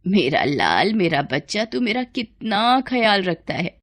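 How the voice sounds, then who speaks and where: A woman voicing a humble, loving village midwife and mother in a story, soft and homely in manner. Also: mid-range voice, expressive, measured pace, dry recording. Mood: tender.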